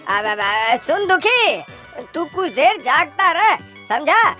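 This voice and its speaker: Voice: high voice, very animated, slow, pushed and bright, heavily acted. A performer voicing an irritable, scolding village uncle in a cartoon, blustering and repeating words when agitated. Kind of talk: exaggerated Hindi cartoon story acting. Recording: music behind the voice.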